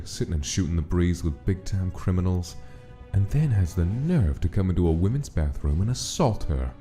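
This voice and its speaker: Male narrator for a dramatic comic dub, very low and mellow, faint music under the read. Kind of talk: dramatic scripted story narration.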